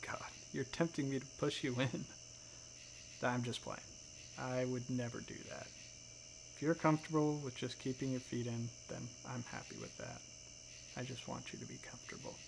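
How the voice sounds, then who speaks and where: A gentle, playful dragon friend, voiced by a man in a soft-spoken ASMR roleplay. The voice is mid-pitched with a crackly fry and sounds casual and affectionate. Crickets and night insects chirp clearly behind it. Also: steady tone, normal speed, close to an everyday voice. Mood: playful teasing, then gentle, caring.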